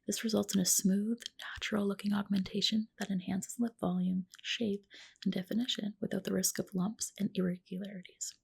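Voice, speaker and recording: A woman with a soft, breathy, whispering voice doing a gentle, reassuring ASMR roleplay as a spa practitioner, close to the microphone. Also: mid-range voice, steady tone, a little fast. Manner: gentle, reassuring client-care talk. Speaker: ASMR creator playing a medical spa practitioner. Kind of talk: ASMR roleplay, personal attention.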